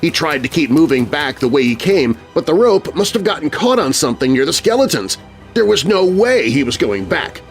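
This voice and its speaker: A man's voice: fairly high pitch, big pitch swings, moderate pace. A man narrating scripted scary stories for children in a suspenseful, theatrical manner. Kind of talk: dramatic scripted spooky storytelling for children. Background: music bed.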